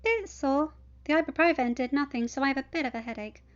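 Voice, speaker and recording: A woman's voice: high-pitched, fast, voice pushed up, close to an everyday voice. A woman voicing a polite, earnest, slightly awkward teenage boy in a story reading, speaking respectfully and candidly with some hesitation. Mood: sore, subdued. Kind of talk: audiobook character voice for fan fiction. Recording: dull lo-fi home recording.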